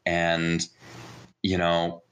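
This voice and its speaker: A man's voice: low voice, monotone, drawn-out words, fairly bright tone. A man with an American accent, raised in New Jersey, speaking casually and candidly as a podcast guest telling personal stories. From born in Israel, raised in New Jersey. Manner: informal, candid. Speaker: podcast guest, mindset coach. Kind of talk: casual conversational personal storytelling.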